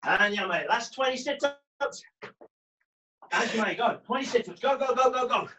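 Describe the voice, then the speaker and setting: A man's voice: very high-pitched, animated, normal speed, pushed and bright, slight background noise. A man coaching an online karate class from a small brick-walled gym, calling out drills and cheering students on as he trains with them. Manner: barking encouragement and commands while training. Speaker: karate instructor.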